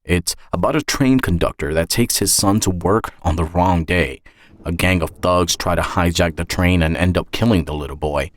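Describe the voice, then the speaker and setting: A man's voice: deep voice, no room sound. A man reading a scripted first-person dramatic story as its author and narrator. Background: music.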